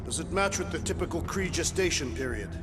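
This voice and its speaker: A man acting a formal, animated alien warrior. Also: fairly high pitch, fairly quick, music in the background, natural voice. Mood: solemn deadpan. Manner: formal, stilted, deadpan declarations. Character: literal-minded, proud, solemn male alien warrior. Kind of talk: video game voice acting.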